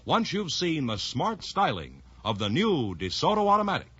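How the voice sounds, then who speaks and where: A man's voice: on the high side, huge pitch swings, moderate pace, a bit bright, slightly rough, dry recording. A man announcing a scripted 1950s radio commercial with a polished salesman's delivery, on an old recording. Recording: dull vintage radio recording.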